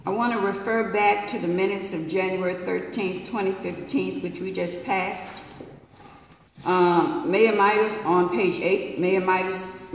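A woman council member speaking at a public meeting, measured and insistent on procedure, heard in a large council chamber. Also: mid-range voice, a little hiss or hum. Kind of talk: formal public meeting remarks. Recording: echoey hall from far off.

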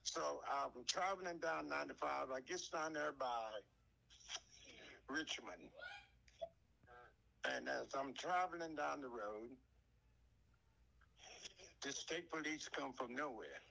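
A man's voice: medium pitch, takes it slowly, creaky, pauses often, sharp tone, low-quality recording. A man from North Carolina telling a personal story in a folksy, conversational way, acting out the dialogue. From North Carolina, USA. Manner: folksy, acts out quoted dialogue.

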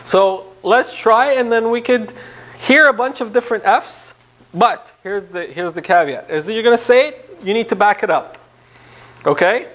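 Male priest, thirties, high voice, conversational interactive lecture in a small room, rhetorical questions, animated delivery. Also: normal speed. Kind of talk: interactive church talk to a small group. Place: small indoor meeting room.

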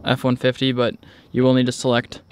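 A man's voice: mid-range voice, monotone, fairly quick, slight background noise. A man vlogging outdoors, narrating a casual walkaround in a rambling, informal way. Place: outdoors in a driveway beside a truck.

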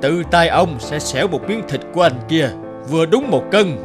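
A man plays a just mandarin passing judgement in a cartoon folk tale. He sounds stern and commanding, with expressive intonation over faint music. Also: fairly high pitch, normal speed, natural voice. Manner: authoritative, commanding. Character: stern, just male mandarin official judging villagers. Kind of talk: animated folk tale dubbing.

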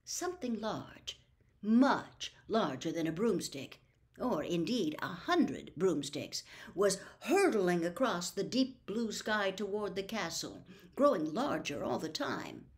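An older woman in her sixties or beyond reading a storybook aloud with expressive, dramatic phrasing. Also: medium pitch, slow pace. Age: 60s or older. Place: small book-lined room at home.

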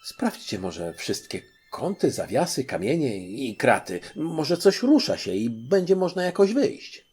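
A man's voice: medium pitch, very animated, measured pace, few pauses, a little mellow, slightly rough, faint music behind, pitched above the performer's own voice. A man playing a worried, earnest, polite doctor in a storybook.

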